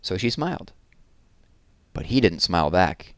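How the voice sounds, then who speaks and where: A man reading a children's book aloud in an expressive storytelling style, leaning on key words for drama. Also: low voice, a little slow, dry recording.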